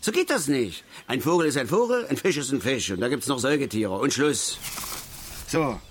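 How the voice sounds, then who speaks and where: A man's voice: mid-range voice, very animated, normal speed, lightly acted. An actor playing a grumbling, opinionated middle-aged angler and father in a radio drama, lecturing and blustering with questioning tags. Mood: irritated. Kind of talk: naturalistic radio drama dialogue. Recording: faint outdoor background noise.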